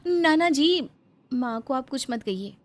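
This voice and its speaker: High-pitched, coaxing young wife acted by a woman. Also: animated, slow pace. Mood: coaxing, placating. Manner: coaxing, wheedling, meekly placating. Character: sweet-talking young daughter-in-law, pampered rich wife. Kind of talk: melodramatic Hindi moral-story cartoon acting.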